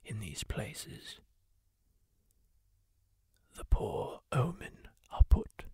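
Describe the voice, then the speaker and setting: A man's voice: lowish voice, full character voice. A man whispering as a kindly masked healer. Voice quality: soft unvoiced whisper, gravelly and husky. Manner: slow, hushed, solemn storytelling to the listener. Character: kindly masked male healer of a dark fantasy world. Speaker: ASMR roleplay performer. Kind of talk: ASMR roleplay whispered storytelling.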